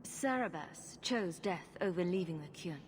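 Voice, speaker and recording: An actress playing a tough, suspicious adventurer heroine in a video game, blunt, direct and controlled. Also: female voice, fairly low pitch, lively intonation, unhurried, in a large hall, a little hiss or hum. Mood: guarded. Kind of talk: video game cutscene acting.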